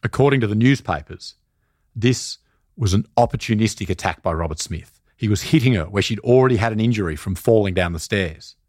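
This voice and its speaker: A man narrating a scripted documentary podcast in a measured, serious way. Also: lowish voice, expressive, a little mellow, dry recording, clean studio sound.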